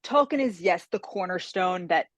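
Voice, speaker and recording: A woman's voice: on the low side, animated, normal speed, no room sound. A woman guest talking about her work as a fantasy author, chatty and enthusiastic, on a video call. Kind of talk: casual interview conversation.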